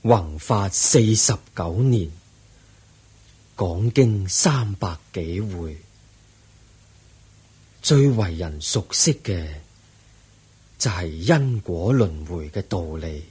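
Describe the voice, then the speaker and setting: An actor playing the aged Buddha in a radio drama, a serene and patient teacher speaking solemn Hong Kong Cantonese in a husky voice. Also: male voice, low-pitched, lively intonation, darkish tone, exaggerated voice. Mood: calm, solemn. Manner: solemn, measured teaching delivery.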